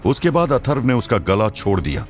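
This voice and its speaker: A man's voice: mid-range voice, very animated, fairly quick. A man narrating a scripted audio story in a dramatic style. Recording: music behind the narration.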